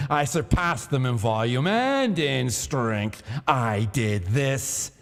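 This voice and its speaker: A man reading a gothic horror story aloud in a slow, deliberate, theatrical manner, heard in a long echoing hall. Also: medium pitch. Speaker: reader of a gothic tale. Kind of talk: dramatic literary narration.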